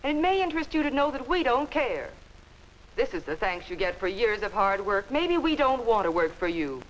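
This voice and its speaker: An American actress playing a defiant, easily offended office clerk in a 1950s instructional film with a hissy soundtrack. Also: female voice, a little high, sing-song, normal speed, dull audio. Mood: defiant, huffy. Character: indignant, defiant female office clerk, quick to take offense. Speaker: actor in workplace instructional film.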